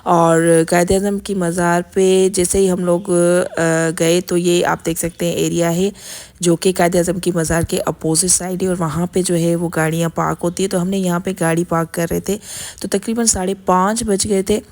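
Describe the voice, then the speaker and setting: Hoarse woman in her thirties, low gravelly flat delivery, chatty vlog narrator. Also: normal speed. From Pakistan. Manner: chatty, filler-heavy narration. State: hoarse, sore throat. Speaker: vlogger. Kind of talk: vlog voice-over.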